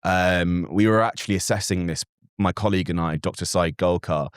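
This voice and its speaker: A young man, a native Farsi speaker raised in Britain, answering as a guest analyst in an earnest, measured way with frequent fillers and restarts. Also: sits low, smooth, no echo, studio quality. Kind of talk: interview answers.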